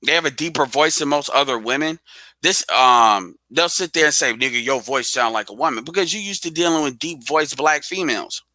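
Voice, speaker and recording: A man's voice: on the high side, a little fast, bright, forceful tone, no room sound. A man hosting a live audio stream in casual chat, blunt and profane, talking straight at his listeners.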